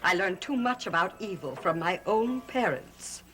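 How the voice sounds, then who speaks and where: A woman's voice: medium pitch, expressive, moderate pace, fairly bright tone, soft background music, lightly acted. A woman playing a defiant, wounded daughter of witches in a gothic soap opera. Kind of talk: gothic soap opera acting, slow and dramatic.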